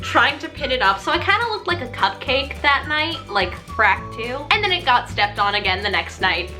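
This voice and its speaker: Casual vlog storyteller: young female, higher-pitched and crisp-bright, animated, self-mocking delivery. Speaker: vlogger and stage actress.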